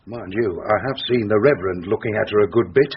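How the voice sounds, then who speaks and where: An actor playing a gossipy, brash businessman, a rough diamond, in a radio drama. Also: lowish voice, fast talker, natural voice. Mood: brash, knowing. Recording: dull radio recording, faint noise.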